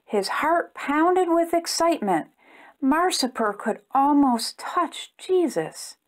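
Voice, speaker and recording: High, slow, lively woman reading to children. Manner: expressive, simple phrasing aimed at young children. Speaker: children's storytime reader and host. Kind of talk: animated children's storybook read-aloud.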